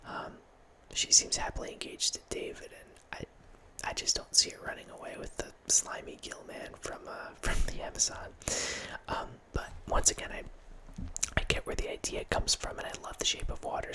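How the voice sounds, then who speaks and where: A man's voice: moderate pace, poor audio. A man in his thirties hosting an ASMR channel, whispering a rambling film chat into a close microphone in a small home room. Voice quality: soft unvoiced whisper. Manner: rambling, with restarts and quiet laughs. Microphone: close studio mic with headphones.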